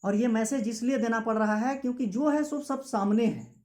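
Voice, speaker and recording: A man's voice: extremely high pitch, moderate pace, darkish tone. A young man with a Jharkhand Hindi accent giving an impassioned political speech as a student activist, with repetitive rhetorical phrasing. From Jharkhand, India. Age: young adult.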